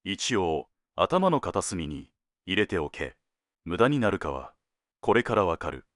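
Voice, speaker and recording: A man playing a world-weary senior detective in an audio drama, laconic and deliberate, given to dry teasing jokes. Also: sits low, big pitch swings, a bit bright, no room sound, exaggerated voice. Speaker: police detective at headquarters.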